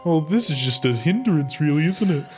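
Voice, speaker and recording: A man's voice: fairly high pitch, animated, normal speed, a little mellow. A man playing a tabletop game, bantering casually in character with music behind his voice.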